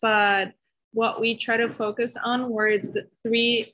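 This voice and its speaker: A woman's voice: fairly high pitch, flat delivery, slow pace, a bit bright, slight background noise, low-quality recording. Young woman, hesitant intro. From Costa Rica. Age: young adult. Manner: hesitant, with fillers and restarts. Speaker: student presenter. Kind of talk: live unscripted introduction.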